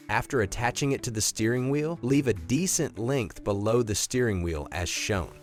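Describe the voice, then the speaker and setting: A man reading scripted instructional narration in a clear, methodical, step-by-step way, as the narrator of an installation video. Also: medium pitch.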